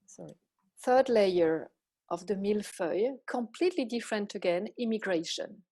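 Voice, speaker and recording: A middle-aged woman with a Belgian French accent delivers a lecture built from personal anecdotes. She speaks in a measured, reflective way, pausing often and reworking her phrasing mid-sentence. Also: medium pitch, darkish tone. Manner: reflective, measured, with pauses and self-corrections. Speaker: professor giving a talk.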